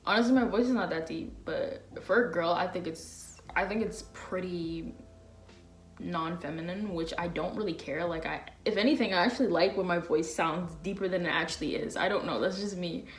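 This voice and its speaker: A woman's voice: lowish voice, moderate pace. A young woman talking casually and candidly to camera as a vlogger, in a slangy way with lots of fillers. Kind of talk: candid confessional chat to camera.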